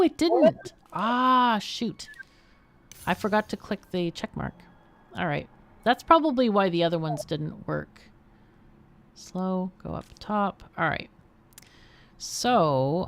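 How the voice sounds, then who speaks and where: A woman's voice: on the low side, slow pace, creaky. A woman hosting a casual game livestream, exclaiming and talking to herself as she plays. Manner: expressive, exclaiming, talks to herself while playing. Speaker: game streamer and host. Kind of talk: casual livestream gameplay commentary and chat.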